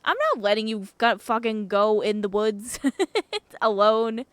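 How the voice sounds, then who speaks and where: A woman's voice: medium pitch, little pitch movement, measured pace, fairly bright tone, clean tone, no room sound. A woman streamer casually reading a game's story aloud, with playful, informal, sweary reactions.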